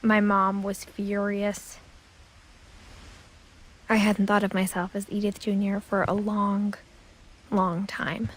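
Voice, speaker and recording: An actress narrating a video game as a wistful young woman recalling her family's past, soft and often close to a whisper. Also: mid-range voice, little pitch movement, slow pace, pauses often, poor audio. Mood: reflective. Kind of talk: scripted narration. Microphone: studio voice-over.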